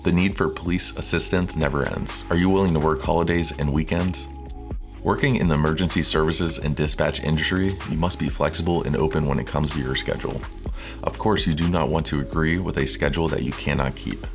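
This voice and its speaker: Male narrator, extremely low voice, scripted coaching-video read, measured and formal, clear music bed. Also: fairly even intonation. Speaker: presenter of interview coaching videos.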